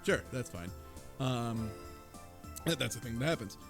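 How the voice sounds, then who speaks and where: A man's voice: mid-range voice, lively intonation, a little slow, gravelly, over music. A man co-hosting a talk show, casually recapping a story in a wry, incredulous way. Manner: wry, incredulous, laughs while talking. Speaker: podcast co-host. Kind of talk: casual joking recap discussion.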